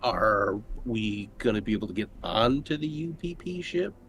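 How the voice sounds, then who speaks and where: A man's voice: on the low side, animated, moderate pace, a bit bright, a little hiss or hum. A man talking casually as a player in a tabletop game discussion. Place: online voice call.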